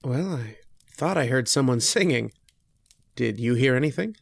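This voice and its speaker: An actor playing a polite, curious student lodger who tells a ghost tale in old-time radio horror style. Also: male voice, mid-range voice, animated, creaky, slightly rough, no room sound. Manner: hesitant in dialogue, brooding and measured in narration.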